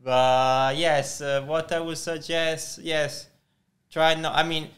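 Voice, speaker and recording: A man's voice: on the high side, little pitch movement, slow, fairly bright tone. A man speaking as a guest in a casual podcast interview, giving short, hesitant answers.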